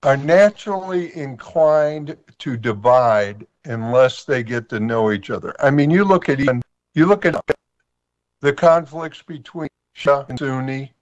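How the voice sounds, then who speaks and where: A man hosting a radio talk show, speaking in a measured, thoughtful, conversational way. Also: medium pitch, lo-fi sound. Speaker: radio host.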